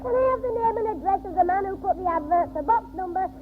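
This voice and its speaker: A very high-pitched, sharp-tongued schoolboy with a Northern English accent, scheming and wisecracking. He performs in a 1960s radio comedy, heard through a narrow, tinny broadcast recording with loud noise. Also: very fast. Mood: cheeky, insistent. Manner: cheeky, wisecracking. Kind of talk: 1960s radio sitcom acting.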